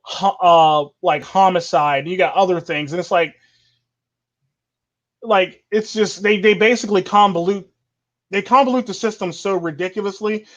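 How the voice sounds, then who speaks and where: A high-pitched man hosting a casual livestream chat. He is opinionated and talks in long, rambling runs, laughing easily. The audio is dull and lo-fi, like a remote call. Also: normal speed.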